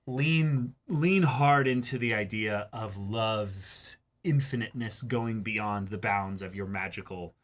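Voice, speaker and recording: A man co-hosting a reaction show, talking through his thoughts in casual commentary with emphatic, drawn-out phrasing and repeats. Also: fairly low pitch. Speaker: co-host of an anime reaction show.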